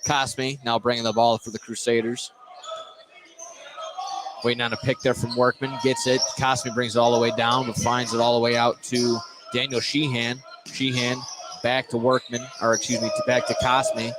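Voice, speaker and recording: A man announces basketball play by play from a noisy gym, with ball bounces behind him. His medium-pitched voice moves in stop-start phrases, repeating words as he keeps up with the action. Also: little pitch movement, normal speed. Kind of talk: live sports radio commentary.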